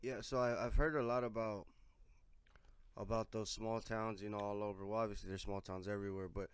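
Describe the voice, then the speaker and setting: Young guy hosting a chill podcast, lowish smooth voice, dry close sound. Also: flat delivery, a little fast, no echo. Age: 20s. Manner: easygoing, many "you know" fillers. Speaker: podcast host. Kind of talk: casual interview chat.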